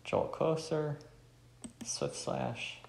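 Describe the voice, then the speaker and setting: A man's voice: a little high, steady tone, drawn-out words, gravelly, darkish tone, slight background noise. A man giving casual commentary as he plays a game. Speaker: gamer playing a visual novel. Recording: roomy sound, faint music behind.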